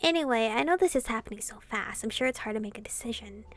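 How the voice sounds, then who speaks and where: Flirtatious demon king, read by a woman: light, conversational, highish with vocal fry, visual novel dialogue. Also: animated, quick pace, a bit bright. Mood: gentle, inviting. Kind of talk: reading translated visual novel dialogue aloud.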